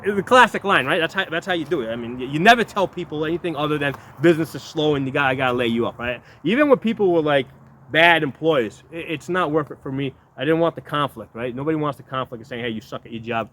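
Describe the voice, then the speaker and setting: A man's voice: fairly high pitch, expressive, fast, a bit bright, slight background noise. A man around fifty talking casually to his viewers in a blunt, rambling way, restarting words and asking "right?" again and again. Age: 50s. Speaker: video host. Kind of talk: casual talk to camera.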